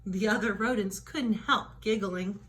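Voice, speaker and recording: A woman's voice: medium pitch, a little slow, background noise. A woman storyteller reading a children's book aloud with dramatic emphasis, stretching out key words.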